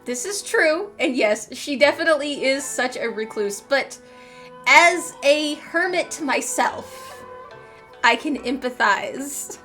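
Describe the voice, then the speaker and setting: High-voiced woman gaming streamer, chatty. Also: lively intonation, slow pace, pushed and bright. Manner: chatty, playful. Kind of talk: casual game commentary. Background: faint game music.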